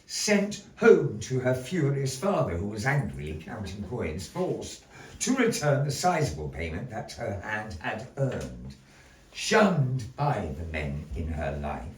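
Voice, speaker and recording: A man telling a dark fairy tale live in a florid, dramatic manner, with deliberate pauses. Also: lowish voice, huge pitch swings, a little hiss or hum. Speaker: storyteller in a puppet show. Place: indoor gallery performance space. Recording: echoey room, distant mic.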